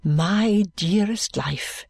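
Woman as an earnest, gentle gentleman, slow-spoken. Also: medium pitch, gravelly, husky, no echo, full character voice. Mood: tender, grave.

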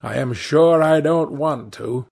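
A male narrator plays a thick-set young lad from a novel. The voice is gravelly and husky, blunt and grumbling, with a stern but good-natured temper. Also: a little high, slow, darkish tone, heavily acted. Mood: earnest.

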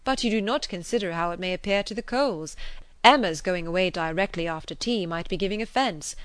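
A woman voicing a hearty, eager middle-aged gentleman who speaks emphatically and persuasively, in an audiobook. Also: lowish voice, a little fast, smooth, dry recording, close to an everyday voice.

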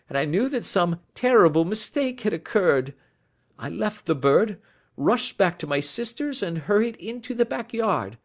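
A man doing a frightened young thief. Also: high voice. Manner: pleading, agitated storytelling. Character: frightened desperate young male thief confessing. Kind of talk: audiobook reading.

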